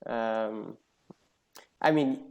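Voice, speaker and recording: A man's voice: fairly low pitch, extremely slow. A man from Portugal, a native Portuguese speaker, hosting a casual group talk in a hesitant, self-deprecating manner. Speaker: host of an online talk. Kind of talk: casual moderated group chat.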